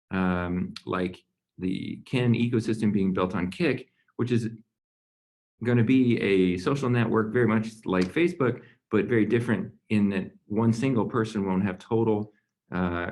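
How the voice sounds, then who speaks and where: Gravelly low-voiced man hosting a call. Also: moderate pace, a little mellow. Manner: reflective, big-picture, hands over to the next speaker. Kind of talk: presenting on a conference call.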